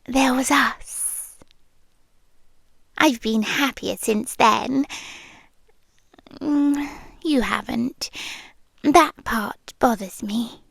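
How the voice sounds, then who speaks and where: A woman's voice: high-pitched, no room sound, studio quality, exaggerated voice. A British woman playing a lonely, obsessive, affectionate stalker girl in an intimate ASMR roleplay, speaking tenderly and breathily, slipping into whispers. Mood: hushed, hurt, then wistful. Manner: slow, breathy, tender, with pauses and sighs. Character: lonely obsessive bodiless young female stalker, possessively affectionate. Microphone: close microphone.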